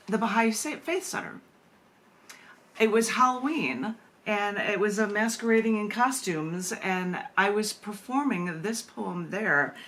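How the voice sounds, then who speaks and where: A woman poet reading at a live open mic, animated and self-amused, with rambling, chatty asides. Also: lowish voice.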